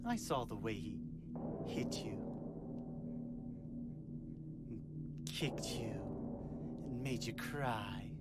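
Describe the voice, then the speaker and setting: An actor playing a smug, worldly, needling cult schemer in a video game, mocking and theatrical. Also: male voice, mid-range voice, slow pace, loud music behind the voice, close to an everyday voice. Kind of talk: dramatic video game acting.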